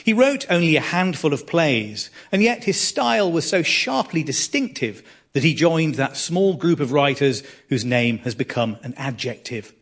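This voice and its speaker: A man's voice: on the high side, lively intonation, moderate pace. A man presenting a live radio drama evening to a theatre audience, reading a scripted introduction in a wry, arch manner. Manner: wry, arch delivery with dry jokes.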